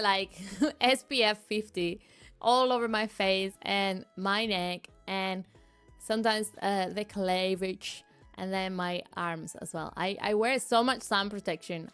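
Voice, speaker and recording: A woman's voice: mid-range voice, measured pace, fairly bright tone, smooth, soft background music. A woman streamer, chatting casually and giggling often, restarting her sentences. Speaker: VTuber streamer. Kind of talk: casual chat reading live chat.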